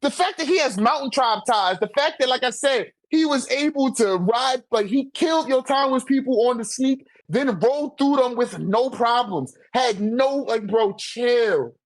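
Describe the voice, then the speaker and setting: A man's voice: very high voice, normal speed, hardly any pauses, no room sound, rough recording. A man in a casual, argumentative debate, talking animatedly with heavy slang and swearing. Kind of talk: casual slangy debate about manga rankings.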